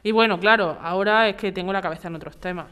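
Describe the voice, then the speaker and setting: A woman's voice: mid-range voice, quick pace, a bit bright, clean tone, lightly acted. A woman playing a stressed, exasperated daughter-in-law in a radio drama. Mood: weary.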